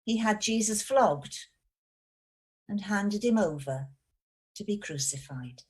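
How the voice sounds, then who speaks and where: A woman's voice: mid-range voice, lively intonation, takes it slowly, darkish tone, husky. A woman reading scripture aloud as part of a church service. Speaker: scripture reader.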